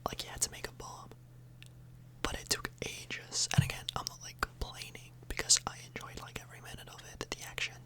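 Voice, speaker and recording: A woman speaking in a breathy, unvoiced whisper close to the microphone, rambling informally and a little hesitantly in an ASMR style. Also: a little fast, rough recording. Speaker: ASMR video host.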